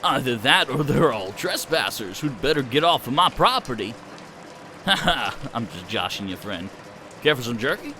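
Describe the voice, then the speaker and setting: A man's voice: mid-range voice, big pitch swings, moderate pace, noisy background, pitched below the performer's own voice, natural voice. A man voicing a cheerful, folksy male hobo with an easygoing country drawl.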